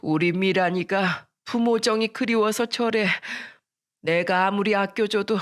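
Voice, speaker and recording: A woman's voice: mid-range voice, a little slow, close to an everyday voice. A woman voicing a long-suffering old grandmother in a dramatized story, reproachful and lamenting. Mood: sorrowful, pitying.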